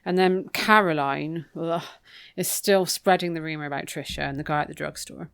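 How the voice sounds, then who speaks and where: Female podcast co-host, low smooth voice, informal book recap delivered plainly. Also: normal speed. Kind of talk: casual book recap chat.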